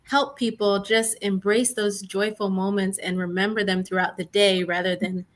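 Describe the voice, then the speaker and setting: A woman's voice: mid-range voice, level tone, moderate pace. A woman, a co-author guest, talking earnestly in a casual livestream and leaning on fillers like "you know" and "like.".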